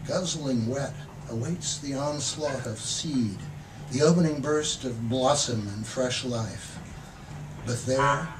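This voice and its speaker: A man who is a poet reading his own work aloud at a live reading, with expressive, theatrical emphasis. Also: mid-range voice. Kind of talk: live poetry reading with brief spoken introductions. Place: public poetry reading in a bookstore. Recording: distant mic, noisy room ambience.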